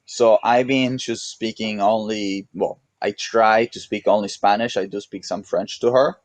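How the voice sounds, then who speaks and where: Laid-back Mexican man with a low voice. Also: measured pace, dry recording. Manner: relaxed, rambling with many restarts and "you know". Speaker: guest, pro paddle racer. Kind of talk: casual interview chat.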